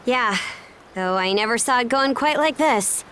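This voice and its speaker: A woman's voice: on the high side, expressive, a little slow, a bit bright, a little hiss or hum. A woman playing a level-headed young princess captain in a video game, speaking in a casual, decisive way. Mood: wry.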